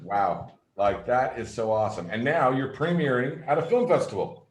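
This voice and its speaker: A man's voice: mid-range voice, moderate pace. Enthusiastic man host in an echoey room. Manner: enthusiastic, gushing. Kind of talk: casual video interview.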